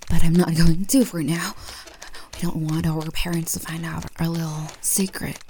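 A woman performing a roleplay monologue, speaking mostly in a soft, breathy whisper with a teasing, doting manner. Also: sits low, level tone, normal speed, slight background noise.

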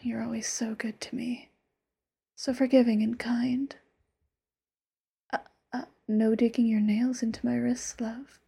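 A woman's voice: a little high, level tone, measured pace, long pauses, heavily acted. A woman voicing an obsessive, possessive immortal lover in a whispered audio roleplay, sweetly menacing. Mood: tender whisper. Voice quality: soft breathy whisper. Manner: intimate, sweetly menacing, sudden flares.

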